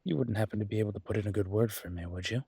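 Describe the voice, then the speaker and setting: Man playing a low, husky, charming young mafia boss. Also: rapid-fire, mellow, no echo. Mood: wry, fond. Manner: playful, smooth-talking, teasing. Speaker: voice actor in a roleplay audio. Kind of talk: intimate audio roleplay acting.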